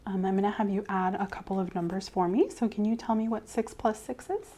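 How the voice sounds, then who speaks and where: A woman doing a soft-spoken ASMR medical role-play as a clinician, gently reassuring and giving step-by-step instructions. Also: mid-range voice, fairly quick. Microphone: clip-on mic.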